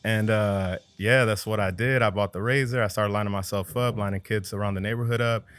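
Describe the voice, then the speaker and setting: A man from New Jersey in his thirties, a barber telling his story as a podcast guest in relaxed slang, often adding "you know what I mean". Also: fairly low pitch, brisk. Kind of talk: casual storytelling.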